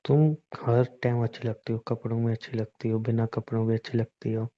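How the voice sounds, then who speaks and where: A man's voice: medium pitch, level tone, fairly quick. A young man chatting casually as a boyfriend, in a teasing, pushy, informal manner. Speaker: boyfriend in a couple's conversation. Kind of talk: casual intimate couple chat in Hindi. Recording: dull, lo-fi call-style recording.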